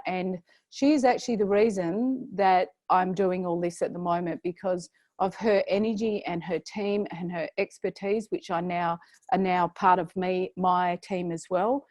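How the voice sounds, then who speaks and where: A woman with an Australian accent presenting a paid program in a chatty, filler-heavy way, her voice going slightly hoarse. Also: fairly low pitch, steady tone, normal speed, a little mellow, no echo. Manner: chatty, many fillers and restarts. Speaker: program host presenting an offer. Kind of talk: webinar sales pitch.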